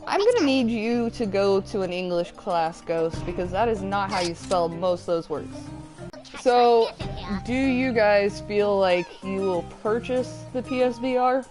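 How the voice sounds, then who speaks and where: A woman's voice: medium pitch, lively intonation, measured pace. A woman hosting a gaming chat in a casual, teasing way, chatting and reading out comments. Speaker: gaming show host, reading viewer chat. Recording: loud music behind the voice. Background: music.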